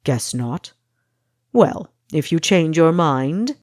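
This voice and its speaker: A smug male interrogator from an audiobook tale, acted out by a woman, taunts and cajoles his captive. The delivery is coaxing and menacing, in a lowish register with a dry, close sound. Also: lively intonation, slow pace, a little mellow, no room sound. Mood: taunting.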